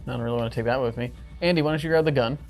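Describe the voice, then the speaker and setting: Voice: medium pitch, racing, darkish tone, faint music behind. A player bantering casually in a tabletop role-playing game.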